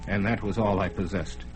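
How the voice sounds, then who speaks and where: A man's voice: low-pitched, steady tone, normal speed, over loud music, lightly acted, poor audio. A man playing Taurus, a chuckling master thief. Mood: urgent. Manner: chuckling, scheming, commanding. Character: cunning male master thief, boastful and bold. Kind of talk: vintage radio drama acting.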